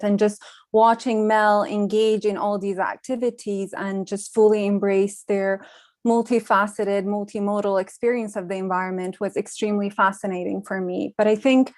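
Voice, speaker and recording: Young woman, mid-pitched, reflective panel speaker, deliberate with fillers, dry room. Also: darkish tone, no room sound. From Iran. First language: Farsi. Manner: measured, thoughtful, with frequent ums and uhs. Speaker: panel speaker, design researcher. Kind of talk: reflective conference talk.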